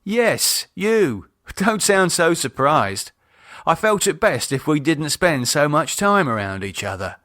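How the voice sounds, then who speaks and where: A man's voice: a little high, very animated, slow, bright, forceful tone, no room sound, clean studio sound, voice pushed up, exaggerated voice. A man acting a wary, sardonic man. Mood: defensive. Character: uneasy, sardonic adult man, wary and reluctant. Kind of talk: audiobook character dialogue.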